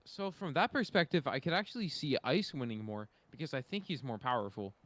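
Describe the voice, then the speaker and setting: A man's voice: medium pitch, expressive, fairly quick, fairly bright tone, no room sound. A man chatting casually and giving his opinions as a panelist on a podcast.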